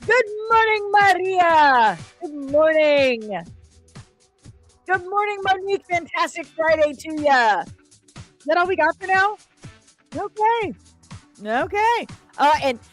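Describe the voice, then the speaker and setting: Female streamer, higher-pitched, unhurried, playful and expressive, casual chat with viewers over faint music. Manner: playful, expressive, sing-song greetings. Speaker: live stream host reviewing comics and screen news. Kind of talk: casual livestream chat, reading out viewer comments. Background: faint background music.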